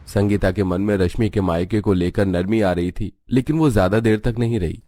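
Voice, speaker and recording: Man narrating a cartoon story, lowish voice. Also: moderate pace, mellow, no room sound, clean studio sound.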